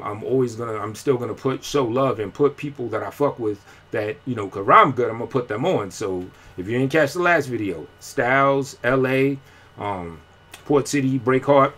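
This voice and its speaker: A man chats loosely on a live video, wandering between thoughts in heavy slang. He stops, restarts and fills gaps with fillers as he talks. His voice sits at an ordinary middle pitch. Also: fairly bright tone. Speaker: independent rapper and vlogger. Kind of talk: casual live-stream talk.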